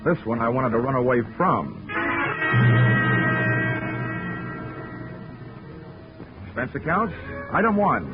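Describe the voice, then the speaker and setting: A man acting as a wisecracking American investigator in a vintage radio drama. He is deadpan and sardonic in hardboiled style. The sound is tinny and narrow, with prominent music. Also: medium pitch, lightly acted. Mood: wry. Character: wry, wisecracking male insurance investigator. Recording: tinny old radio broadcast recording.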